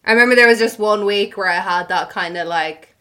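Young Irish woman, podcast guest, mid-pitched, chatty and quick to laugh at herself. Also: sharp tone, clear, resonant tone. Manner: chatty, laughs often, self-mocking. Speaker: anonymous guest. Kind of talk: casual podcast chat.

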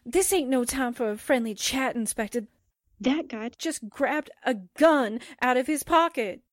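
A woman playing a feisty, excitable young sidekick in a 1940s style radio drama, urgent and slangy. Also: sits high, measured pace, dry recording, studio quality. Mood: impatient.

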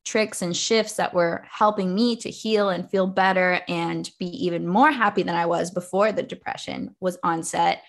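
A woman's voice: fairly low pitch, fairly even intonation, moderate pace, creaky, few pauses. Chatty young woman, lots of "like". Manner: casual, chatty, many "like" fillers. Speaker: podcast guest, author and coach. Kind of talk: podcast interview.

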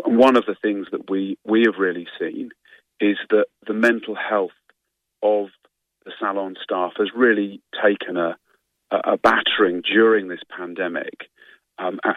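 A man speaking for a trade guide as a radio guest, earnest and measured, with stumbling starts. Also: fairly low pitch, dry recording, phone-quality sound. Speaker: industry guide representative, guest. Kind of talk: radio interview.

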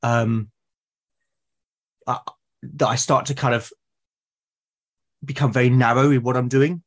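A man from Britain with a husky voice of middling pitch speaks as a podcast guest. He is reflective and tentative, casually working out his thoughts and doubling back on words. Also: steady tone, measured pace.

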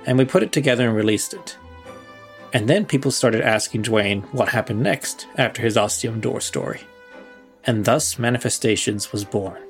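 A man's voice: mid-range voice, creaky. A man reading scripted narration as a podcast host, with music behind his voice.

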